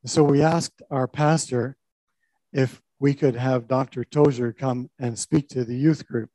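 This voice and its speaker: An older man, a pastor, recounts his own story in a warm, mellow voice. He talks reflectively, with small restarts along the way. The sound is close and dry. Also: medium pitch, fairly even intonation, a little slow, no room sound, poor audio. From Canada. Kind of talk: personal testimony talk.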